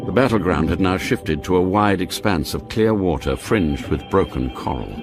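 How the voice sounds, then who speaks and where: An actor narrating an action spy thriller for radio drama in a measured, deliberate storytelling manner. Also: male voice, low voice. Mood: grave suspense. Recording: loud dramatic music under narration.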